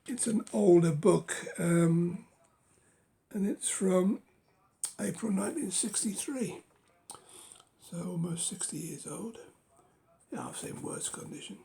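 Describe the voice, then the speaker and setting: A hoarse middle-aged man with a cold, ambling slowly through a casual chat and pausing on every um. His voice is gravelly and creaky, and it often sinks to a breathy whisper. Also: sits high, mellow. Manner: halting, many ums and uhs, drops to a whisper. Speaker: book collector vlogger. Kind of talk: casual show-and-tell of books.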